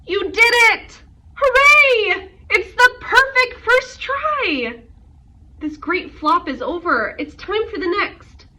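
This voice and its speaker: A woman's voice: very high-pitched, lively intonation, slow pace, sharp tone, voice pushed up, full character voice. A woman voicing an elderly, big-hearted great-great-aunt in a children's storybook, with an exuberant, emphatic delivery. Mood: jubilant. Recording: muffled, noisy, distant microphone.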